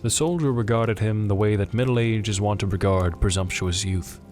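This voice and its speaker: A man's voice: fairly low pitch, moderate pace, a little mellow, soft background music. A man reading scripted, dramatic story narration as the narrator of an audio drama.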